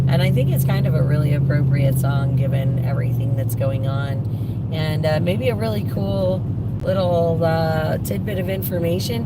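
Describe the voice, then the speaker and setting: A woman with a low, raspy voice talks slowly and loosely to her livestream viewers from the cab of a moving vehicle, drifting through fillers and restarts. She sits well back from the mic, and a loud rumble of road noise fills the recording. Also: distant mic. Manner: rambling, many fillers and restarts. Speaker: livestreaming driver. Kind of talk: casual livestream chat with viewers.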